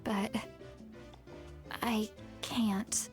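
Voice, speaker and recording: A woman's voice: mid-range voice, fairly even intonation, extremely slow, a little mellow. A woman voicing a youthful-sounding, tipsy granny shaman in a video game, theatrical and easily flustered. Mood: flustered, exasperated. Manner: theatrical, swinging from ominous proclamation to flustered complaint. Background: game music.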